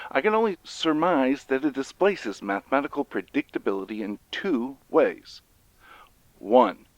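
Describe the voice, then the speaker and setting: A man's voice: medium pitch, dry recording, lo-fi sound. An actor playing an earnest male professor who explains urgently and emphatically in an audio drama. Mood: earnest, musing. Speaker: professor, researcher. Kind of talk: dramatized audio fiction monologue.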